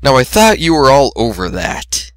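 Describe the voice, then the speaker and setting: A brash young mare from a storybook reading, voiced by a man. The pitch is lifted well above a man's plain talk, the tone is bright, and the manner is breezy and teasing. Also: huge pitch swings, normal speed, no echo. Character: brash, teasing young female flying pony.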